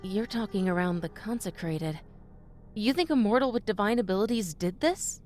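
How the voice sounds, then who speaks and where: An actress playing a determined, inquisitive devotee of a trickster god in a fantasy audio drama, speaking earnestly and directly. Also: female voice, mid-range voice, animated, normal speed, a little mellow, lightly acted. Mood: concerned. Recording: music bed under the voice.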